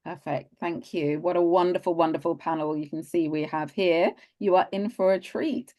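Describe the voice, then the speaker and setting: Woman moderator, lowish voice, encouraging, video-call panel host. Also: moderate pace, warm tone. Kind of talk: hosting a panel discussion.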